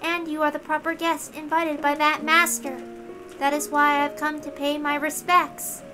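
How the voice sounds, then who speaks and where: A woman's voice: high-pitched, little pitch movement, normal speed, music in the background, lightly acted. A woman voicing a formal, polite young female heavenly visitor with a stiff, solemn, ceremonious way of speaking.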